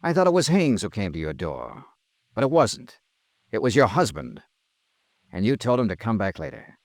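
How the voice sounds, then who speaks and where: An American actor playing a cool, accusing insurance investigator in a 1950s radio drama, with a clipped, deadpan delivery. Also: male voice, fairly low pitch, normal speed, no echo. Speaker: lead actor and narrator. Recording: vintage radio drama, dry, music behind.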